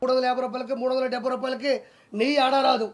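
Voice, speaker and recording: A man's voice: very high voice, flat delivery, very fast, very noisy. A man giving mocking political commentary in a scornful, taunting way.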